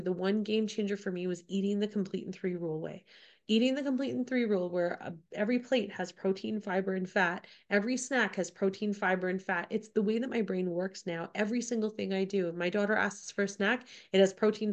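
A woman's voice: medium pitch, steady tone, brisk, muffled recording. A woman in her forties talking through a casual podcast episode, chatty and repetitive, her voice a little off from a cold. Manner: chatty, repeats points, many "like" and "right?". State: getting over a cold, slightly off voice. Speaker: podcast co-host. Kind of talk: casual solo podcast talk.

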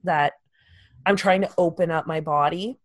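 A woman's voice: on the low side, fairly even intonation, moderate pace. Hesitant woman with a fading voice. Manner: hesitant, trails off and restarts often. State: fighting a cold, losing her voice. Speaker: podcast co-host. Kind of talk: casual chat.

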